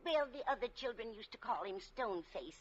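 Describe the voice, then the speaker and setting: A woman's voice: a little high, lively intonation, brisk, slightly rough. An American actress playing a kindly, scatterbrained elderly landlady, motherly and fussy, in an old-time radio comedy. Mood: guileless. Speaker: radio sitcom supporting actress. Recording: muffled old radio recording.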